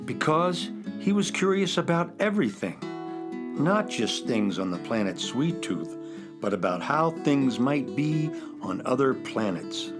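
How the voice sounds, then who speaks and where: A man playing a polite, earnest talking chocolate-covered rocket in a children's radio drama, speaking in a measured way. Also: medium pitch, very animated. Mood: storytelling. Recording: guitar music behind the voice.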